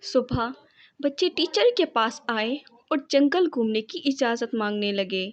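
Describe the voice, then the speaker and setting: A woman's voice: on the high side, animated, measured pace, no room sound. A woman reading a scripted children's story as its narrator.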